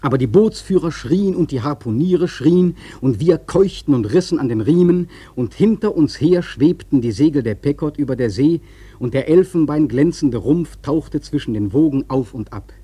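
Casting: seafaring storyteller for radio drama, soft mellow husky tone, intense and evocative delivery. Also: male voice, fairly high pitch, expressive, moderate pace, no echo. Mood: awestruck. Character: awestruck young whaleman sailor recalling the hunt. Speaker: narrator. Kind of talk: dramatic literary radio-play narration.

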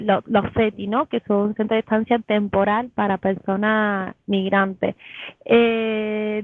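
A woman's voice: a little high, no room sound. A woman answering as an interview guest over a call. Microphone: phone or online call. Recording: tinny narrow phone-like line.

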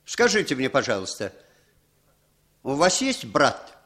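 An actor playing an insistent, smooth-talking man who coaxes and persuades in a 1960s radio play. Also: medium pitch, huge pitch swings, a little slow, a bit bright, exaggerated voice. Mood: coaxing. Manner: coaxing, wheedling, emphatic.

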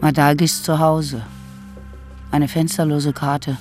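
An actor narrating a medieval tale in a sober, reflective way for a radio drama. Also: male voice, fairly high pitch, level tone, takes it slowly, darkish tone, soft background music.

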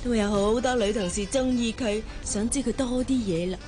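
A woman acts out a young Hong Kong office gossip in a radio drama. Her fairly high voice is chatty and exclamatory, stretching words out, with music clearly playing behind. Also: brisk, dark tone, lightly acted. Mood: gossipy. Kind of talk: Cantonese radio drama acting.

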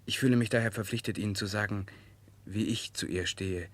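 A man's voice: fairly low pitch, fairly even intonation, fairly quick, close to an everyday voice. A man playing a principled, protective political exile in a 1960s German radio drama, speaking formally and directly. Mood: resolute.

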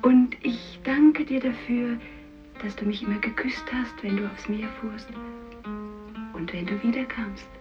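A woman's voice: a little high, a little slow, natural voice. An actress playing a tender, anxious fisherman's wife in an earnest, intimate radio drama style. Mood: tender, tearful. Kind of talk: 1950s-style German radio drama acting. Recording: dull radio recording with music behind.

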